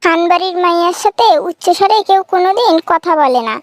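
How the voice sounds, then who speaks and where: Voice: extremely high pitch, fairly quick, dry recording. A performer voicing a fierce, sarcastic village wife in a comic cartoon, taunting sharply in a rural Bangladeshi Bengali accent. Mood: threatening, defiant. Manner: taunting, sharp-tongued, threatening. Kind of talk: comic cartoon dialogue, exaggerated bickering.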